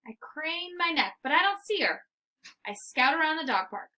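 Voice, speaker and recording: A woman narrating a children's story aloud. Her voice is fairly high and bright, with very lively, expressive intonation suited to reading to young listeners. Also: moderate pace.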